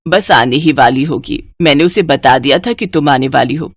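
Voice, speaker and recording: A woman voicing a gentle, older widowed mother in a cartoon, calm and soothing. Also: sits low, moderate pace, no room sound, voice pushed down. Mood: kind. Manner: calm, consoling.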